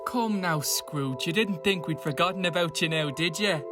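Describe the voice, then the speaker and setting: A woman's voice: lowish voice, moderate pace, music in the background, close to an everyday voice. A woman playing a hearty, avuncular spirit of the present Christmas in a radio play, with a familiar manner. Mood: familiar, reassuring. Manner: familiar, calls listener "lad".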